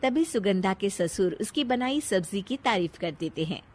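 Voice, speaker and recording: A woman narrating a scripted story in an animated, expressive way, with a teasing manner as she addresses the listener. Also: mid-range voice, normal speed, no echo.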